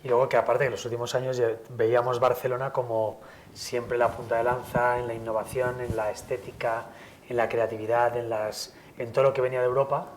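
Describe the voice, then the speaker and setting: A man's voice: mid-range voice, little pitch movement, brisk. A man restaurateur speaks in a casual panel chat, emphatic and reflective. His voice sits in a middle range, with faint background noise behind him.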